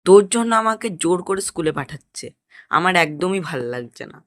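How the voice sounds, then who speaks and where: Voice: medium pitch, expressive, a little fast, a bit bright, no echo, studio quality, natural voice. A performer voicing a cheeky, fearless young schoolboy in a cartoon, exclamatory and plaintive in manner. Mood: anxious. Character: cheeky fearless schoolboy, reluctant pupil, little brother. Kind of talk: Bengali cartoon voice acting.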